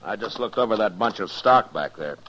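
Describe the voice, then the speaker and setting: An actor playing a stern, steady frontier marshal in 1950s radio style, with a terse, authoritative manner. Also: male voice, on the low side, fairly quick. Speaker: actor in a western radio drama. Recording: dull, noisy 1950s radio recording.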